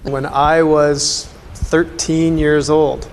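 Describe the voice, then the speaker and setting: Casual Canadian male, colleague telling stories, flat delivery, echoing room with faint noise. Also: on the high side, extremely slow. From Canada, Alberta. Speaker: colleague giving a tribute. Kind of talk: casual reminiscence.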